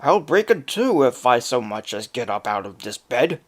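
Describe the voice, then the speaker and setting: A man's voice: mid-range voice, big pitch swings, moderate pace, lightly acted. A man voicing a lazy, whining Irish husband with a put-on Irish accent. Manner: whiny, dramatic complaining.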